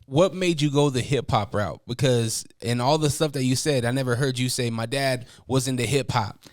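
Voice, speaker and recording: A man's voice: mid-range voice, normal speed. A man hosting a podcast interview, asking questions in a casual, encouraging way and often ending points with "right?". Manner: encouraging, asks leading questions with tag "right?". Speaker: podcast host.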